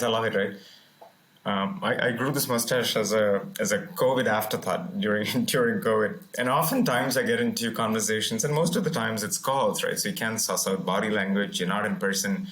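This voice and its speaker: A man's voice: lowish voice, animated, normal speed, fairly bright tone, low-quality recording. A man in his forties hosting a video call interview on a headset, conversational and affirming. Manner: conversational, frequent backchannels. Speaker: podcast host.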